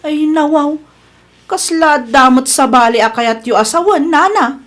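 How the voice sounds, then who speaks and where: A woman's voice: sits high, unhurried, fairly bright tone. A woman acting a meddlesome, whiny gossip in a radio comedy drama, flustered and exclaiming. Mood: flustered, defensive. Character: whining, meddlesome gossip, a grown daughter.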